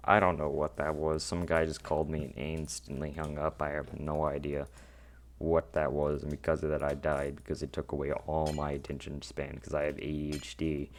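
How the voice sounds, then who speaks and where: A teenage boy with an extremely low, deep voice and a gravelly edge talks through his gameplay. He rambles awkwardly and keeps putting himself down. A faint hiss sits under the recording. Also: completely flat delivery, moderate pace. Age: teens. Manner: rambling, self-deprecating, awkward. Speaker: gaming channel host. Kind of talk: casual gameplay commentary.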